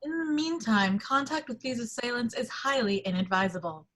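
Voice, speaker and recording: A woman playing a formal, measured television newsreader in an amateur screenplay table read. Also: a little high, fairly bright tone. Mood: official. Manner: formal, official.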